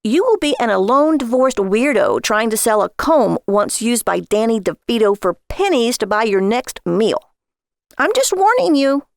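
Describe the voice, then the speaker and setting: A woman's voice: a little high, sing-song, moderate pace, gravelly, no echo, studio quality, pitched above the performer's own voice. A woman voicing an exasperated, nagging wife in a story, sarcastic and scolding toward her husband. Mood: weary warning.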